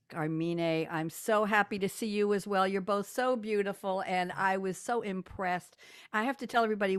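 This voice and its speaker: A woman's voice: mid-range voice, clean tone, no echo, studio quality. A woman radio and TV host interviewing guests over a video call, talking in an effusive, enthusiastic, chatty way.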